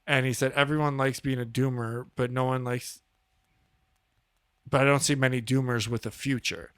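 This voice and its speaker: A man's voice: mid-range voice, fairly even intonation, moderate pace, fairly bright tone, smooth, no echo, studio quality. Laid-back male podcast co-host. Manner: laid-back, agreeable, hesitant restarts. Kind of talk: casual chat.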